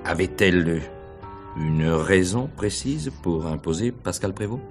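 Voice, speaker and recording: Deep-voiced, wry investigator. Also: male voice, measured pace, faint music behind. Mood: probing. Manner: insinuating questions, hesitant repetitions, knowing chuckles. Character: probing, wry male police investigator, middle-aged. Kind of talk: 1950s French radio mystery acting.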